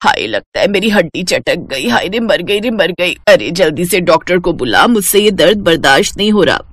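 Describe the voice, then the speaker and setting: महिला की आवाज़ में कराहती, चिड़चिड़ी बूढ़ी सास. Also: female voice, fairly low pitch, expressive, moderate pace, dry recording, studio quality. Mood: wailing in pain. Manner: wailing, scolding, complaining. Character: older mother-in-law, cranky, wailing and blaming. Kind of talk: cartoon moral story acting.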